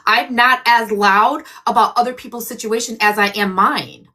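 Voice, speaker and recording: A woman's voice: on the high side, fairly even intonation, normal speed, sharp tone. A woman hosting her own livestream, talking bluntly and defiantly with plenty of swearing. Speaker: livestream host. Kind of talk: casual livestream chat.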